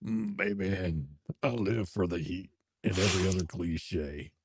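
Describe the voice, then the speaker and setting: A woman voicing a cocky, flirtatious male chef with a low, husky, intimate drawl in an audio romance drama. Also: darkish tone, full character voice. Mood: smug. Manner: slow, intimate, suggestive drawl.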